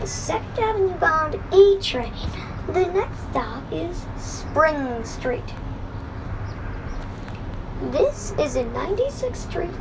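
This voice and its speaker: A very high-pitched woman delivers automated-style train announcements at a slow pace, over clear vehicle noise. Her phrasing is formulaic and carefully enunciated, with each piece set apart. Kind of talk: scripted automated transit announcements. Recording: noisy recording with train rumble. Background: train ambience and vehicle noise.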